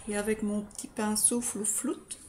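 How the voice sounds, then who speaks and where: A woman in her forties with a slightly stuffy nose, softly and hesitantly talking through a makeup tutorial into a webcam in a small room. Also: mid-range voice, little pitch movement, moderate pace, a little hiss or hum. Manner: soft, hesitant, talks while applying makeup. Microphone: webcam close up.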